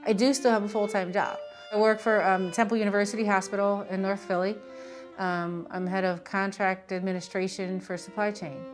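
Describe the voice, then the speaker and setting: A woman's voice: mid-range voice, steady tone, brisk. American woman talking casually over string music. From Philadelphia, United States. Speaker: small business owner. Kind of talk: casual interview talk. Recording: music playing behind the voice.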